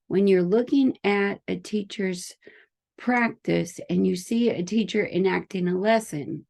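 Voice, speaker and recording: A woman who speaks English natively, giving an informal explanatory lecture as an educator in a careful, halting manner. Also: mid-range voice, warm tone. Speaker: teacher educator explaining a learning principle.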